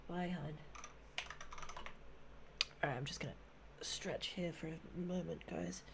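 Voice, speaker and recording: A woman with a low, gravelly voice murmurs to her stream chat as she draws, often dropping to a half-whisper. She talks slowly and unhurriedly in a soft, relaxing way, close to a desk microphone. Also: background noise. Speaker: streamer drawing fan art. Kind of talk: casual live-stream chat while drawing. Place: at a desk at home.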